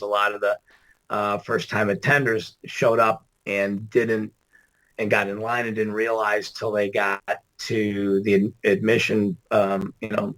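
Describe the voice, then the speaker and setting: A middle-aged man speaks in a low, gravelly voice that is hoarse from a sleepless night. He rambles in casual podcast chat, laughing often and padding his sentences with "you know" and "um". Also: fairly bright tone, low-quality recording. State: hoarse, tired after an all-nighter. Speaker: guest.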